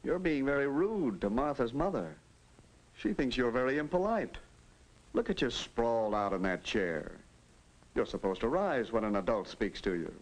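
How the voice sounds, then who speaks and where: Lively fatherly 1950s film narrator. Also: medium pitch, normal speed. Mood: mild scolding. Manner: coaching, instructive. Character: fatherly unseen narrator coaching a teenage boy in manners. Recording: noisy old 1950s film soundtrack.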